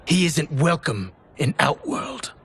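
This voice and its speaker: An actor playing a cold, commanding villain in a video game, giving formal orders. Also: male voice, on the high side, sing-song, slow, fairly bright tone, slight background noise, heavily acted. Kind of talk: dramatic video game cutscene acting.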